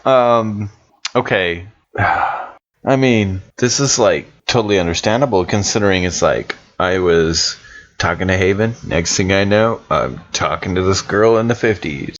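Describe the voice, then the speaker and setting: A man's voice: low-pitched, measured pace, dull audio. A man playing a tabletop game in character, chatting casually in slangy, laid-back wording.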